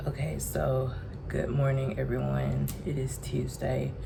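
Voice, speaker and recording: A woman in her twenties, from Texas, sick and congested with a raspy voice, giving a casual vlog update to her phone. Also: rumbling low, monotone, a little slow, noisy background. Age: 20s. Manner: chatty, addresses viewers directly. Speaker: vlogger. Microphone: phone camera.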